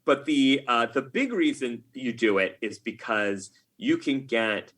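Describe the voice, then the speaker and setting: Bright-voiced, wry man in casual interview. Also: mid-range voice, lively intonation, measured pace. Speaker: podcast guest, game writer.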